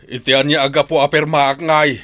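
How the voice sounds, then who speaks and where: A man's voice: a little high, level tone, a little fast, bright, forceful tone. A man with an Ilocano accent playing a stubborn, wary village husband and father in an audio drama. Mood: defiant. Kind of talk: scripted audio drama acting.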